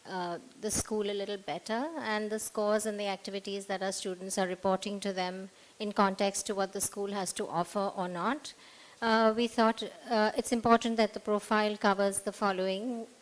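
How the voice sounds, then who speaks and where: A woman's voice: mid-range voice, steady tone, normal speed, poor audio. A middle-aged woman, a school counselor, presenting at a conference podium and working methodically through a list with many fillers. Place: hotel conference room, at a podium. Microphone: podium microphone.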